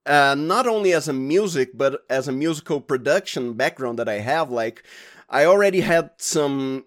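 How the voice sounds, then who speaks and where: A man with a Brazilian accent, a native Portuguese speaker, talking casually and haltingly as an interview guest. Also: on the high side, animated. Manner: halting, informal, often says "like". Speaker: sound designer and podcast guest.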